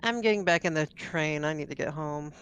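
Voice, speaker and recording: A woman's voice: low voice, fairly quick, fairly bright tone, a little hiss or hum. A woman playing a tabletop roleplaying game, speaking casually in character. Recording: faint music behind the voice.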